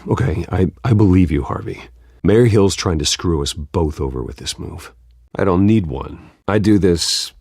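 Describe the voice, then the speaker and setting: A man's voice: low voice, animated, normal speed, vocal fry, darkish tone, husky, dry recording, heavily acted. An American man in his thirties playing a brooding billionaire with a secret vigilante life in a video game, curt, guarded and dry. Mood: tense, indignant.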